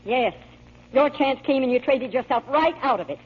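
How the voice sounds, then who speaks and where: A woman's voice: high-pitched, normal speed, husky. A woman playing an anxious, plain-spoken, long-suffering older mother in a 1940s American radio drama. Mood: exasperated scolding. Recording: dull old radio broadcast.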